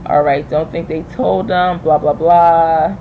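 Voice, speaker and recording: A woman's voice: low-pitched, fairly even intonation, takes it slowly, phone-quality sound. A woman hosting a casual live stream, giving slangy, opinionated commentary and explaining herself as she goes. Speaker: livestream host and YouTube creator. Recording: clear background noise on a livestream.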